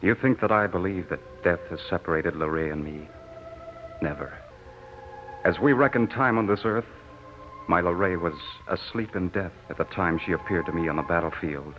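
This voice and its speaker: Actor as deep-voiced haunted young soldier, melodramatic. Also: male voice, normal speed, soft background music, close to an everyday voice. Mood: brooding, devoted. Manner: earnest, fervent, melodramatic. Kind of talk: 1940s radio drama acting. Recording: muffled, hissy old radio recording.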